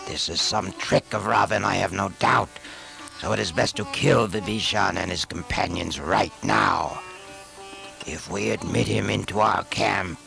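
A man playing a stern, suspicious monkey king and commander, speaking gravely and deliberately with a gravelly voice in an epic story. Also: low voice, exaggerated voice.

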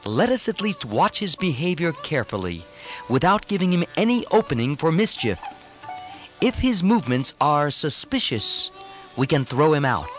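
A man's voice: fairly high pitch, very animated, normal speed, soft background music. A man voicing a cautious young monkey prince who gives wary, reasoned counsel in an epic story.

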